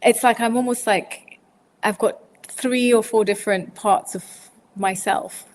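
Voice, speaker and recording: A woman in her forties from Zimbabwe, talking through interview questions at an easy middle pitch and often stopping to restart her sentences. Her voice carries a long, hall-like reverb, with faint ambient noise behind it. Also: steady tone, a little slow. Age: 40s. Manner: rambling, hesitant, many fillers and restarts. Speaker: podcast guest. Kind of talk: casual interview answers.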